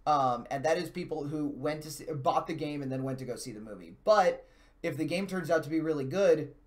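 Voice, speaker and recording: A man hosting a video game show, giving casual, unscripted commentary on games and films in an animated way. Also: on the high side, fairly quick.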